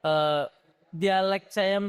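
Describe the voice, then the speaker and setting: A man's voice: high voice, steady tone, drawn-out words, smooth. Hesitant young man with a Javanese accent. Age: young adult. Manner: hesitant, many fillers, unsure. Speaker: student interviewee. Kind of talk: casual group interview.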